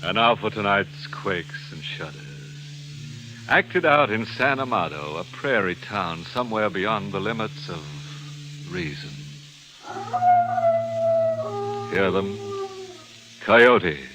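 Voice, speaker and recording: A man's voice: lowish voice, lively intonation, noisy background. A male actor playing a ghoulish, mocking horror host in an old radio mystery, theatrical and sardonic. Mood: ominous, morbid. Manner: sardonic, theatrical, drawn-out delivery with morbid glee. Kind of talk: 1940s radio mystery acting. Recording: old radio broadcast recording.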